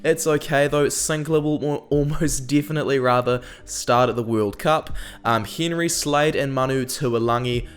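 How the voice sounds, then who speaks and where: A young man with a New Zealand accent, hosting a rugby analysis channel in a chatty, opinionated way, peppered with "um", while still getting over a cold. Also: medium pitch. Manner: chatty, frequent "um" fillers, opinionated asides. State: recovering from a cold. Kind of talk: casual scripted commentary.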